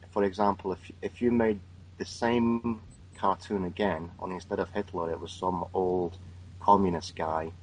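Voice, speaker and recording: A man with a low voice talks in a flat, even tone. He speaks emphatically and with strong opinions, repeating words as he starts his sentences. The talk is a casual panel chat. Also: husky, poor audio.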